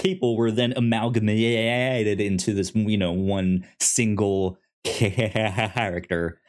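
A man's voice: fairly low pitch, fairly even intonation, slow pace, a little mellow. A man co-hosting a podcast, casually discussing a TV series and often stammering over repeated syllables. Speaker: podcast co-host.

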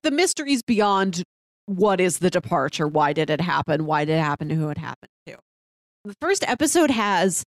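A woman's voice: medium pitch, dry recording, clean studio sound. A woman co-hosting a podcast in casual, conversational discussion. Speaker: podcast co-host reviewing a TV series.

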